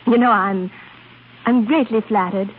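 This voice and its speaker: A woman's voice: mid-range voice, a little slow, a little hiss or hum, lightly acted. A woman playing a coy, flirtatious opera prima donna in a 1930s radio drama, hesitant and fluttery. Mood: flattered, delighted. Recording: tinny, band-limited 1930s radio broadcast with music.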